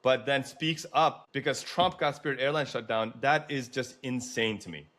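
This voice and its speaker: A man's voice: medium pitch, moderate pace, fairly bright tone. An American man hosting a political interview, speaking in an emphatic, opinionated way as he advocates. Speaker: host.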